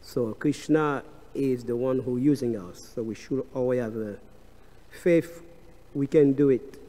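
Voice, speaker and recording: Slow, French-accented man in a reverberant hall. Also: medium pitch, mellow. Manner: halting, with frequent uh and restarts. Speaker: scripture class speaker. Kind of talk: devotional scripture class. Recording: long reverb of a large hall.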